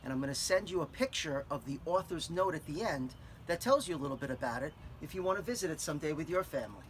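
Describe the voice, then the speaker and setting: A man reading a picture book aloud to children, gently stopping to explain words and show things. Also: a little high, expressive, fast talker, noisy background. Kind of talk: children's read-aloud with asides.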